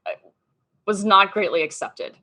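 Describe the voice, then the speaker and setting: A woman's voice: mid-range voice, very animated, a little slow, sharp tone. A woman in her thirties from Australia, a guest chatting casually in an interview, full of "um" and "like". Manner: chatty, lots of fillers and "like". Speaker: podcast guest.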